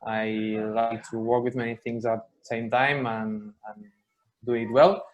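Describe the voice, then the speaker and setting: A man's voice: mid-range voice, little pitch movement, measured pace, highs cut off. A man in his twenties from Catalonia introducing himself steadily. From Catalonia, Spain. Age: 20s. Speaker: meeting participant. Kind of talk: casual self-introduction. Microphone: video call.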